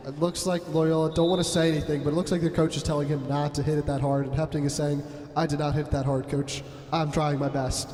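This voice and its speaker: A young man calls a school volleyball game play-by-play, loud, chatty and joking, in a somewhat high voice. He sits in an echoey gym with a long ringing reverb. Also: level tone, quick pace. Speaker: play-by-play commentator. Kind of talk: amateur sports commentary.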